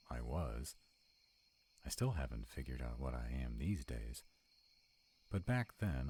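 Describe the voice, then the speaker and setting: A man's voice: rumbling low, warm tone, husky, full character voice. A man playing a sarcastic, protective vampire in a conversational audio roleplay. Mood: wry, relaxed. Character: sarcastic male vampire, protective of a young demon companion.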